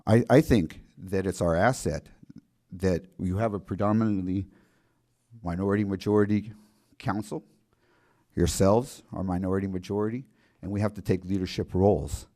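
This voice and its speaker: Deep-voiced man at a council meeting. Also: steady tone, mellow. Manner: earnest, halting with repeated restarts. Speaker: city council member. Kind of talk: public meeting remarks.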